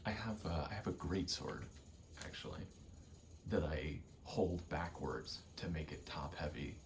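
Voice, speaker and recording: Hushed, very low-voiced man rambling softly. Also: a little hiss or hum. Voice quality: soft, hushed, often slipping into whisper. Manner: halting, rambling, thinking aloud in short pieces. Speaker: ASMR creator, gamer; actor and stage combatant. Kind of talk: ASMR ramble over video game play, casual.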